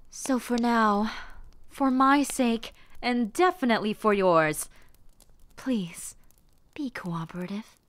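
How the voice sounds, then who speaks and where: A woman playing a haughty, menacing rebel mistress in a fantasy audio roleplay, speaking formally and condescendingly to the listener with theatrical pauses. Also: on the high side, no echo. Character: haughty, menacing villainess, rebel mistress with sweet cruel poise.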